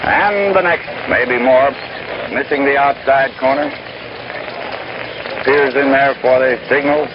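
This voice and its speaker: A man's voice: medium pitch, slow, long pauses, crowd noise. Noisy vintage radio baseball commentator, male. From United States. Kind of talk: 1930s-1940s American radio sports commentary. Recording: noisy, dull archival 1930s-40s radio broadcast.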